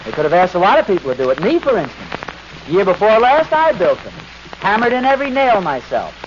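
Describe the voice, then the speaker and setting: A man's voice: high voice, wildly swooping pitch, a little fast. An American man acting a self-important, evasive suburban husband in an old-time radio sitcom, pompous and blustering, on a noisy, muffled recording. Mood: falsely modest. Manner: pompous, blustering, stammering when cornered.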